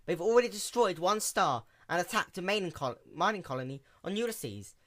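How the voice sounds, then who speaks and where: Man as earnest, high-voiced sea pony attendant. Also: animated, a little fast, a bit bright. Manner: formal, explanatory.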